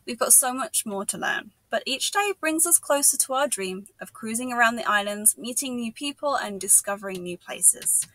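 Woman in her thirties, sailing vlog narrator, higher voice, lively pitch, dry close sound. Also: moderate pace, few pauses, no echo, rough recording. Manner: lively storytelling with upbeat asides. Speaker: sailing vlogger. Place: boat cabin with wooden panels.

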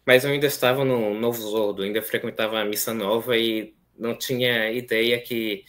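A young man in his twenties from São Paulo speaks with a São Paulo accent, soft-spoken and hesitant, pausing on fillers. His voice sits somewhat low. He talks over a webcam from a small plain room. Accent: São Paulo Portuguese. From São Paulo, Brazil. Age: 20s. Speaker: seminarian guest. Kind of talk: casual interview, recounting his story. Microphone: video call webcam.

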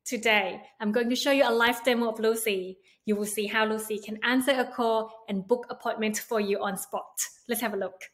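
A woman's voice: a little high, fairly even intonation, brisk, hardly any pauses, a bit bright. A woman in her thirties giving a friendly promotional presentation as a company presenter, speaking indoors into a device in front of her. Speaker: company presenter demonstrating a product. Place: indoor home room.

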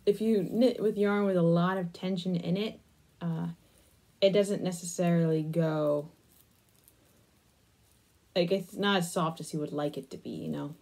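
A woman's voice: fairly low pitch, fairly even intonation, unhurried, vocal fry, pauses often, mellow. A young adult woman chatting casually through a craft demonstration, absorbed and half talking to herself. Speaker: crafting vlogger. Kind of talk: casual crochet demonstration chat. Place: small attic room, indoors.

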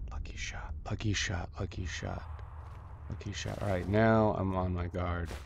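Guy with a gravelly low voice doing laid back game commentary, slow talker, noisy background. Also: fairly even intonation. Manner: muttering, talking to himself, playful. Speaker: gameplay host. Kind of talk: casual improvised gameplay commentary. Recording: clear background noise.

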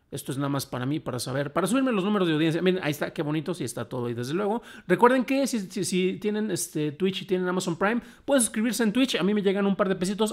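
A Mexican man in his thirties hosting a casual live show, speaking colloquially and haltingly. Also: fairly high pitch. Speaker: host. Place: small home room, indoors.

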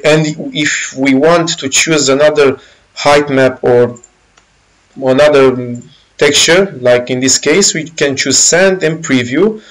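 A man with a Romanian accent casually walking through a game engine's features, pausing often with fillers and correcting himself. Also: medium pitch, poor audio. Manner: halting, many fillers and self-corrections. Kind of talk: casual software walkthrough.

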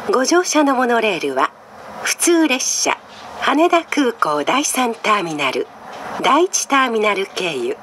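A woman with a fairly high voice delivers a scripted train announcement. Her intonation moves up and down clearly, and her wording is polite, formal and carefully enunciated. Clear cabin noise sits behind the voice. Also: fairly bright tone. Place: played over a monorail car's speakers.

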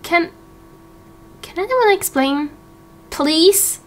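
Woman playing a sweet, high-voiced young mother. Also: animated, very slow, full character voice. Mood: puzzled. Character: gentle, friendly, curious mother. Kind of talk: Minecraft roleplay voice acting.

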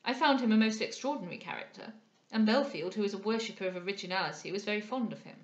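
A woman voicing a scheming, guarded gentleman in a period novel, formal and insistent. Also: mid-range voice, quick pace, dull audio, close to an everyday voice. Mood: measured. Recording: echoey room.

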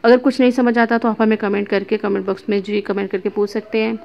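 A woman's voice: medium pitch, level tone, fast talker, vocal fry, darkish tone, muffled recording. A woman showing items in a crowded shop, chatting to viewers and calling out prices in a repetitive way. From Pakistan. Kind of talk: casual shopping walkthrough.

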